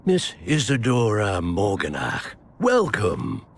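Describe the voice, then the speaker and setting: A man playing a measured wizard professor in a video game, formal and deliberate as he instructs and mentors. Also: lowish voice, huge pitch swings, full character voice. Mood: welcoming. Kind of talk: video game cutscene acting.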